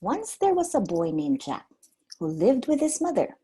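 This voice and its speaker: A woman reading a storybook aloud like a preschool teacher, expressive and simply worded for small children. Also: fairly high pitch, moderate pace, slightly rough.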